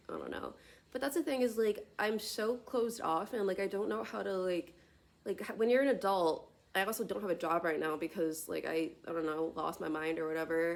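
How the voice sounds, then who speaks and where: A woman's voice: on the low side, vocal fry. A woman in her late twenties, talking to herself on a tablet camera in a rambling vlog, full of tangents and filler words. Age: late 20s. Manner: tangential, filler-heavy, many "like" and "you know what I mean". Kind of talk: rambling solo vlog monologue.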